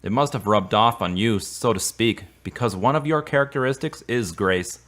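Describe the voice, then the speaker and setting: A man's voice: on the low side, normal speed, a bit bright, natural voice. An actor playing a supportive, slightly awkward man in a radio drama, speaking earnestly and a little formally. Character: Derek, earnest, supportive, awkward male friend. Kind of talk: serial radio drama acting.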